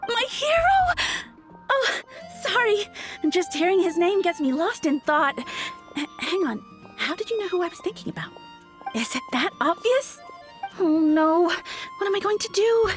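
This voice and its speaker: A woman's voice: very high voice, very animated, unhurried, soft background music, full character voice. A woman voicing a dreamy, flustered, lovestruck young flower shop girl in a video game.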